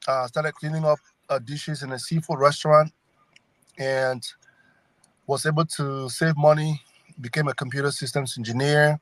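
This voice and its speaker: A man's voice: fairly high pitch, fairly even intonation, moderate pace, pauses often, no echo. A middle-aged man with a Cameroonian accent, speaking conversationally as a political candidate in an interview. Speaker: congressional candidate, guest.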